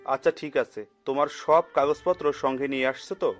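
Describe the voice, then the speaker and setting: A man's voice: medium pitch, fairly even intonation, brisk, lightly acted. A man playing a kindly, generous middle-aged uncle in an audio story, hearty and reassuring. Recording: music under the voice.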